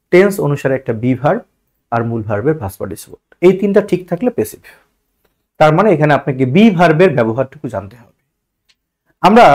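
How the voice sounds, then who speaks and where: A man's voice: on the high side, a little mellow. A man with a Bangladeshi accent teaching a grammar lesson step by step, repeating points and posing rhetorical questions. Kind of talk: instructional lesson for exam students.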